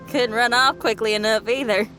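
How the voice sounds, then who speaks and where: A woman's voice: a little high, steady tone, a little slow, lightly acted, low-quality recording. A woman voicing a spirited young female adventurer in a video game, exclaiming eagerly over background music. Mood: amused.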